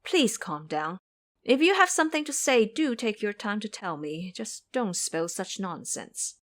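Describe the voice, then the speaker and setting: A woman voicing a gentle, pious male master monk who pleads earnestly. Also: medium pitch, sing-song, measured pace. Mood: pleading.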